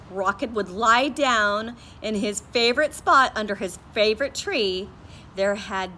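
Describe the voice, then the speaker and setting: A woman's voice: medium pitch, a bit bright, slight background noise. A woman teacher leading a morning meeting for young children, singing the days and reading a story aloud in a sing-song, patient way.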